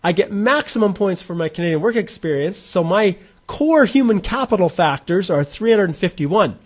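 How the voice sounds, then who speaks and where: A man's voice: high-pitched, lively intonation, normal speed. A man in his forties hosting a live Q&A, chatting informally and thinking aloud as he walks viewers through an online points calculator. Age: 40s. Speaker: immigration advisor hosting a live Q&A. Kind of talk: live-streamed explainer walking through a calculator.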